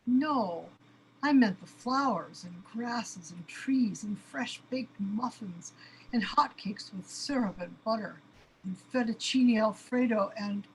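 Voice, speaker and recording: Woman acting overwhelmed female character, anxious complaining, radio drama, medium pitch, clear background noise. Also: natural voice, rough recording. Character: Bornless, panicky overwhelmed woman avatar longing for a body. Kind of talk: amateur audio drama acting.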